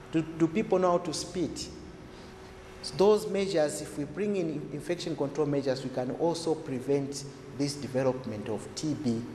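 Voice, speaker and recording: In an echoey room with faint noise, a man explains health matters. His voice sits a little high for a man. He teaches by repeating points and posing rhetorical questions. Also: a little mellow. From Zambia. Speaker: health expert. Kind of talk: explanatory talk to camera.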